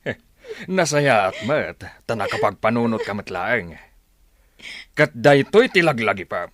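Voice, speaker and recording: A man's voice: on the low side, expressive, unhurried, a bit bright. An actor in a radio drama playing a stern older father, harsh and scolding. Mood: angry, scolding. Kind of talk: Ilocano radio drama acting.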